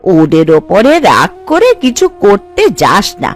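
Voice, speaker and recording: Woman voicing a gentle, protective cartoon mother. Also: lowish voice, wildly swooping pitch, a little slow, heavily acted. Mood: concerned, consoling. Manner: consoling, advising. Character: gentle, protective middle-aged mother advising her grown son. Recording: light background music.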